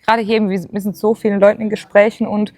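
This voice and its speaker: A woman's voice: medium pitch, level tone, rapid-fire, a little mellow. A woman in her late twenties talking earnestly and encouragingly in a casual chat, with many filler sounds. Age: 20s. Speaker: co-host.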